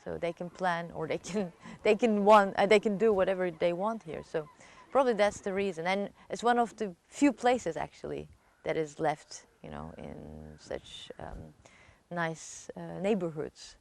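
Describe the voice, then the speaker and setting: A woman talking casually in an unscripted interview, halting and repeating herself, with informal emphasis. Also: lowish voice, creaky.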